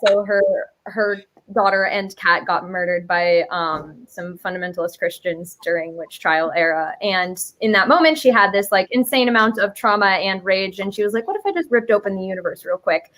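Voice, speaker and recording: A woman's voice: medium pitch, moderate pace, few pauses, a bit bright. A young adult woman chatting casually as an interview guest, explaining her creative work in a giggly, slangy, playful way.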